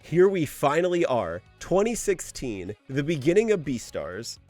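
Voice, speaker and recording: Man, fairly high voice, very animated intonation, emphatic scripted narration, music underneath. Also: measured pace. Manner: emphatic, exasperated asides, swearing. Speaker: host of an anime lore video. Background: background music.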